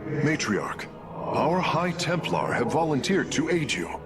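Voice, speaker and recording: A man's voice: fairly high pitch, very animated, takes it slowly. A man voicing a formal, analytical alien engineer in a video game, speaking with precision. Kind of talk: video game cutscene acting. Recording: loud music behind.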